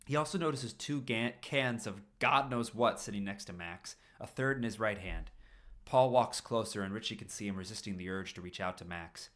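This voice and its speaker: A man's voice: on the low side, moderate pace, fairly bright tone. A man reading a story aloud expressively on a stream, now and then tripping over a phrase and repeating it. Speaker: fan fiction reader on a livestream.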